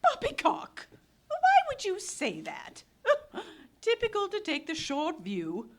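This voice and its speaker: An actress playing a bossy, teasing, plucky young woman adventurer in a live radio play, brisk and theatrical. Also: very high voice, wildly swooping pitch, exaggerated voice. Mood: teasing, mocking.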